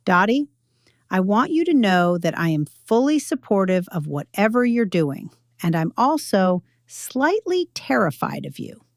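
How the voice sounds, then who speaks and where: A woman's voice: medium pitch, big pitch swings, unhurried, vocal fry, no room sound, studio quality. A woman voicing a supportive, slightly awed woman friend in an audio story, frank and wryly impressed.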